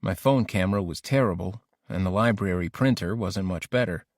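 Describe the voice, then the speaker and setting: A man's voice: sits low, a little fast, no echo, clean studio sound. A man narrating an audiobook diary as an ambitious, lovesick teenage boy, introspective and intense. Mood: brooding. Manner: introspective, self-questioning, intense.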